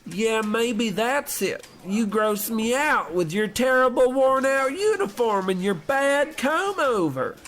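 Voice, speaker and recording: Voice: medium pitch, lively intonation, slow pace, few pauses, a bit bright. An actor playing a brash, jeering office bully who mocks co-workers in an old-time radio comedy style. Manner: jeering, exclamatory. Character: brash, mocking, bullying young postal co-worker.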